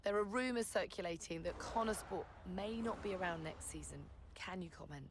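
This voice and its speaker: An actress playing a polite, probing motorsport reporter conducting an interview in a video game. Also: female voice, mid-range voice, brisk, background noise, natural voice. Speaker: sports reporter. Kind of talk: post-race interview acting in a video game.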